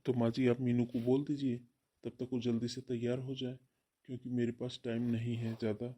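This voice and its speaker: A man's voice: mid-range voice, level tone, fairly quick, warm tone, lightly acted. A man voicing an earnest, devoted young husband in a cartoon family drama, with a pleading manner. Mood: polite.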